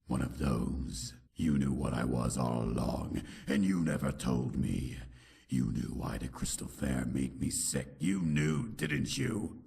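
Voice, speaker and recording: A man voicing a brooding, vengeful shadow pony villain in a comic dub, with a gravelly voice and a theatrical delivery. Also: very deep voice, animated, slow, mellow, heavily acted. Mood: angry, hurt. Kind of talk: dramatic comic dub narration and dialogue. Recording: roomy, distant, faintly noisy home recording.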